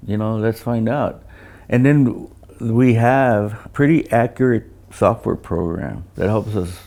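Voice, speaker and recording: A man's voice: on the low side, slow, a little mellow. A middle-aged or older man talking casually as an engineer, explaining through anecdotes and often restarting his phrases. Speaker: veteran loudspeaker engineer. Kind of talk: casual technical conversation.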